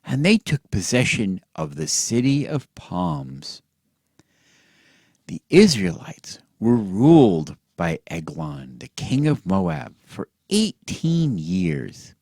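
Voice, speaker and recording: A man telling a satirical bedtime story as if to children, in a theatrical, mock-earnest way with drawn-out words and hushed drops. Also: lowish voice, wildly swooping pitch, vocal fry, breathy edge, no room sound, clean studio sound.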